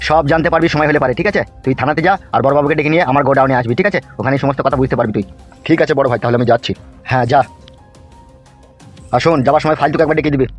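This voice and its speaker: A man voicing a bossy, gruff village strongman in a Bengali comedy cartoon, talking in slangy, foul-mouthed rural speech. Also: medium pitch, lightly acted. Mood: commanding. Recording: music behind the voice.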